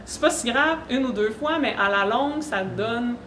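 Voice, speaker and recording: A higher-pitched woman with a Québécois French accent speaks to an audience in a conversational way. The room rings around her and there is faint noise behind. It sounds like an informal panel presentation. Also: animated, moderate pace, fairly bright tone. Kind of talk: informal awareness talk to an audience. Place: echoey room at a university event. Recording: roomy echo, faint background noise.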